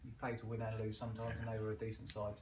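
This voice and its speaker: A man's voice: on the low side, monotone, rapid-fire, a little mellow. A man, an amateur footballer, talking casually after a match in a small clubhouse room. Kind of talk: casual post-match interview. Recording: echoey room with background noise.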